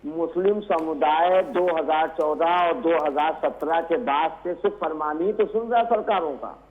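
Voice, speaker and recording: A man's voice: on the high side, normal speed, narrow, tinny audio. A man arguing indignantly and emphatically as a debate guest over a remote call. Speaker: religious scholar, debate guest. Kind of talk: argumentative TV debate. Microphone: remote call link. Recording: thin, band-limited remote call audio.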